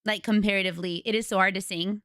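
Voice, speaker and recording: A young woman streamer with a raspy voice, chatting casually and rambling to her viewers from home. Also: medium pitch, fairly even intonation, fast, a bit bright, dry recording, clean studio sound. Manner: chatty, rambling, retells conversations.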